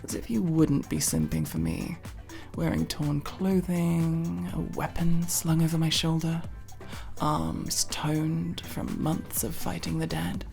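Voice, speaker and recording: Woman acting a gravelly, low-voiced tsundere tomboy. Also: animated, slow pace, warm tone, soft background music, full character voice. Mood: teasing. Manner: playful bickering with mock-archaic flourishes. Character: teasing, foul-mouthed tsundere tomboy friend in an apocalypse. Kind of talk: ASMR roleplay acting.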